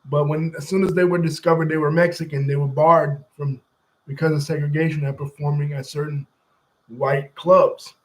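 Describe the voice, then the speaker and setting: Man, commentary host, slightly high-pitched, casual, explanatory, step by step, chats with people off camera. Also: flat delivery, moderate pace, low-quality recording. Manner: conversational, explains history step by step.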